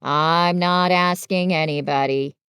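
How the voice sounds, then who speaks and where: A woman's voice: lowish voice, steady tone, fairly bright tone, smooth, no room sound, pitched below the performer's own voice. A woman voicing a gloomy, put-upon old donkey in a children's story, grumbling in a dreary, resigned way. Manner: slow, dreary, resigned complaining. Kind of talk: children's storybook character reading.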